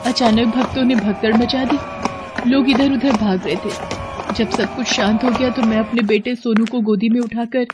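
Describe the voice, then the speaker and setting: A woman voicing a poor village mother in an animated story, speaking tenderly and tearfully. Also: a little high, lightly acted.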